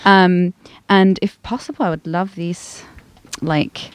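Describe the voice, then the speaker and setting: A woman's voice: on the low side, steady tone, slow, smooth, no room sound. A woman from Sweden chatting informally in a studio, hesitant and thinking aloud as she goes. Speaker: guest singer. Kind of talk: casual studio chat.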